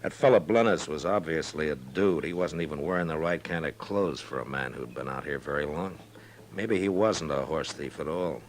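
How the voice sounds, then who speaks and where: An actor playing a weary, firm American frontier marshal in a 1950s radio western, speaking in a laconic, authoritative way. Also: male voice, a bass voice, normal speed. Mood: thoughtful. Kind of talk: 1950s radio drama acting. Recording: faintly noisy old radio recording.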